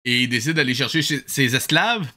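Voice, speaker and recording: Male comedic reviewer: sarcastic, casual, bright, very animated delivery. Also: medium pitch, brisk. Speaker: host of a comedic cartoon review show.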